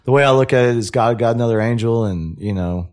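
A man's voice: mid-range voice, fast talker, a little mellow. A man with a folksy, informal way of talking, telling stories casually as a podcast guest. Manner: folksy, informal, peppered with "man" and "you know". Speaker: podcast guest, hat shop owner. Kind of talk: casual interview storytelling.

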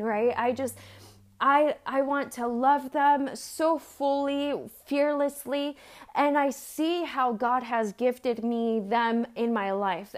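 A woman from Mexico in her thirties or forties giving an earnest, conversational sermon, telling personal stories with frequent fillers and restarts. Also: sits high. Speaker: church speaker.

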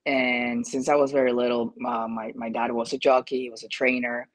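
A man from Peru with a Peruvian Spanish accent, giving casual interview answers as a jockey, haltingly searching for phrasing. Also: medium pitch, level tone.

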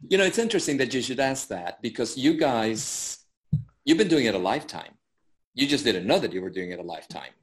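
A man from El Salvador, a guest court interpreter, telling stories in a relaxed interview with fillers and chuckles. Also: medium pitch, brisk. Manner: chatty storyteller with fillers and chuckles. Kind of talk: casual interview talk.

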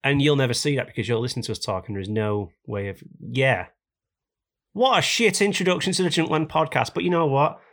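A man hosting a casual podcast, hoarse and raspy from a cold, ranting jokily about things that annoy him. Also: medium pitch, brisk, a bit bright. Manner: jokey, complaining rants. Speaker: podcast host.

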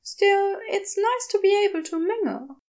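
A woman voicing a gentle, fussy male angel in a giant Tyrannosaurus body, hesitant and polite, in a storybook reading. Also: extremely high pitch, animated, measured pace, voice pushed up. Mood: nervous. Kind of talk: storybook character voice in audio fiction.

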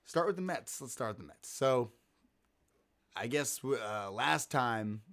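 A man's voice: medium pitch, expressive, unhurried, fairly bright tone. A man with a scratchy throat, hosting a casual sports podcast and rambling with many restarts. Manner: rambling, self-interrupting. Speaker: podcast host.

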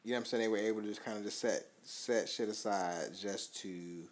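A man, co-hosting a podcast in rambling, profane chat that often trails off quietly. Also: fairly low pitch, a little fast. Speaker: podcast co-host. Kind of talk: casual chat.

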